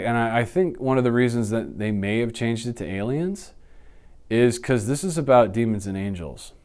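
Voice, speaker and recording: Smooth-voiced man, casual and animated. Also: mid-range voice. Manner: animated, slangy, blurts sound effects. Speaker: interview guest. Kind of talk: casual off-the-cuff chat. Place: small indoor office, seated.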